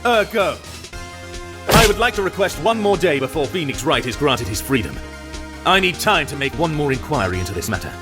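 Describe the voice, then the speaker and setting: A man acting a haughty, calculating prosecutor in a video game drama, formal, smug and deliberate. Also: a little high, big pitch swings. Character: haughty, calculating male prosecutor, coolly superior. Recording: music clearly under the voice.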